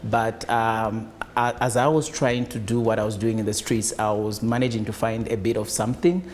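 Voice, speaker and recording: A man's voice: fairly low pitch, steady tone, normal speed, a little hiss or hum. A man from Zambia, a business founder, answering interview questions in a hesitant way with many fillers. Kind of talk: conversational interview.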